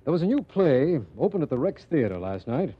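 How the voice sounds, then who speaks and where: An actor playing a composed, slyly teasing district attorney in a 1940s radio drama, measured and wry, on a muffled old recording. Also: male voice, fairly high pitch, big pitch swings, husky. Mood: dry, teasing.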